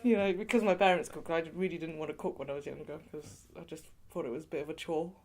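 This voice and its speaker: A woman's voice: sits low, steady tone, fast, darkish tone. A woman from England being interviewed, talking in a chatty way with lots of "you know" and light laughs. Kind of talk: oral history interview.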